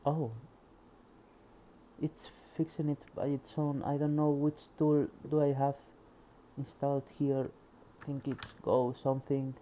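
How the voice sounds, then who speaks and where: A man's voice: medium pitch, little pitch movement, unhurried, slight background noise, rough recording. A man with a Spanish accent reads a programming book aloud and mutters to himself as he tries things out. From Spain. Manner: reads passages aloud, then muses quietly to himself. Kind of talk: casual screen-recorded reading and coding.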